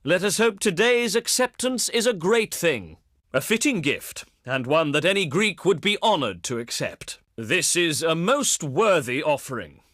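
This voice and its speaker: An actor playing a proud, cultured, wry ancient Greek diplomat in a video game, speaking in formal, flowery and pompous oratory. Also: male voice, on the high side, big pitch swings, a little slow, fairly bright tone, no room sound, studio quality. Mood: pleased, grateful. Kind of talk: theatrical game diplomacy voice lines.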